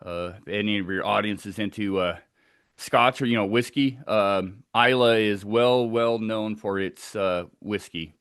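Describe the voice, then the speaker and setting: Scottish male guest, low-pitched, easygoing and chatty, dry recording. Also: a little slow, smooth, dry recording. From Scotland. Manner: relaxed, chatty, with fillers and laughs. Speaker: guest researcher. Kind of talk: casual interview over a video call. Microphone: video call.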